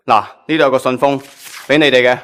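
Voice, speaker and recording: A man's voice: mid-range voice, fairly even intonation, fast talker, a bit bright, natural voice. A man with a Hong Kong Cantonese accent playing a businesslike magazine chief editor in a radio drama.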